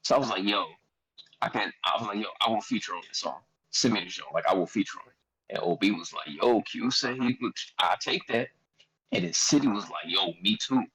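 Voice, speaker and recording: A man's voice: fairly low pitch, animated, a little fast, pushed and bright, poor audio. A young man with a Philadelphia accent telling a story in a casual chat, loose and slangy, often quoting himself. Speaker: guest artist.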